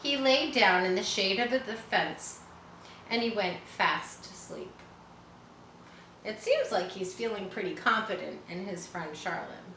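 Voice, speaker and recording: A woman reading a children's story aloud, expressive, as if guiding young listeners through it. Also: mid-range voice, measured pace. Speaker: storybook reader. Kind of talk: children's read-aloud narration. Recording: roomy echo, faint background noise.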